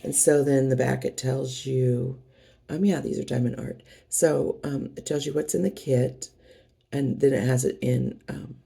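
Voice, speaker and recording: A middle-aged woman with a hoarse voice from a cold, chatting casually and rambling as she unboxes craft kits for her channel. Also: a bass voice, steady tone, measured pace, dark tone. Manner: rambling, chatty, many fillers and restarts.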